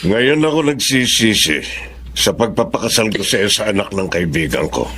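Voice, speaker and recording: A man playing a concerned, gentle older father with a Filipino accent in a radio drama. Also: on the low side, expressive, normal speed, slight background noise. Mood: regretful. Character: concerned older Filipino father comforting his grown daughter.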